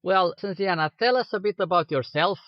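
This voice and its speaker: A male podcast host asks short, friendly questions in a fairly high voice. The sound is dry and close, with a dull, lo-fi tone. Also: a little fast, dry recording. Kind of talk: casual interview questions.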